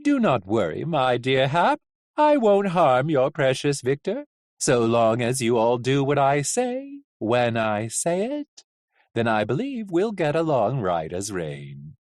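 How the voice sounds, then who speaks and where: Narrator acting a gleeful, menacing coachman, swooping pitch. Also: big pitch swings, darkish tone, dry recording, clean studio sound. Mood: smoothly menacing. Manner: theatrical, mock-polite, drawn-out. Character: cheerful, smooth-talking menacing coachman, gloating captor, male. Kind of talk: audiobook character acting.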